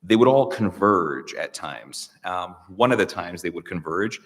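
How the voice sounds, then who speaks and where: A man with a very low voice speaks slowly and reflectively, pausing often with fillers and restarting his sentences. He is a guest in a public conversation, and his voice rings with the long reverb of a large hall. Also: animated, fairly bright tone. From United States. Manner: thoughtful, hesitant, many fillers and restarts.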